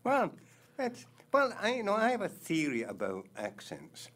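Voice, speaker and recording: An older man with a Scottish accent explaining things deliberately in a casual chat, the host's father. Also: high-pitched, huge pitch swings, fairly bright tone. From Scotland.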